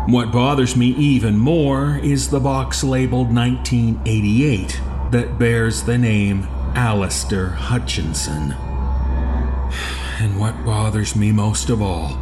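An archivist secretly taping case notes in a horror audio drama. His voice is lowish and very unhurried, matter-of-fact yet uneasy, with small hesitations. Faint ambient music runs underneath.

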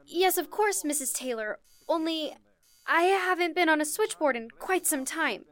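A woman's voice: sits high, animated, moderate pace, a bit bright. A woman playing a timid, polite and hesitant young telephone operator in an old-time radio drama. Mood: anxious, obedient.

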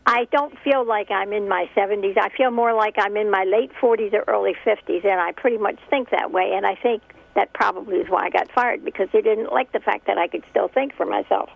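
A woman's voice: medium pitch, fairly quick, gravelly. Woman in her seventies, talk radio caller, blunt, muffled phone line. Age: 70s. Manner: blunt, opinionated. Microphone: telephone.

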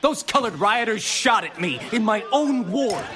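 A man's voice: high voice, animated, moderate pace, crowd noise. An actor playing an indignant city alderman in a radio drama, blustering and repeating himself. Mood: outraged, blustering. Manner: blustering, repetitive, interrupting.

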